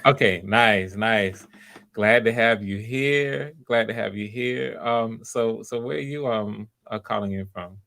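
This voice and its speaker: A man with a fairly low voice and a gravelly creak hosts auditions. He talks casually and encouragingly, often doubling up his phrases as he moves things along. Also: measured pace, a bit bright. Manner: encouraging, repeats himself. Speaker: audition host. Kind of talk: casual, conversational hosting.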